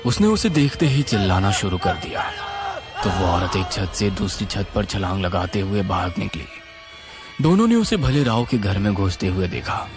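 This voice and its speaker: Expressive male horror narrator over music. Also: low voice, moderate pace, raised voice. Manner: suspenseful, expressive. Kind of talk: dramatic scripted horror narration.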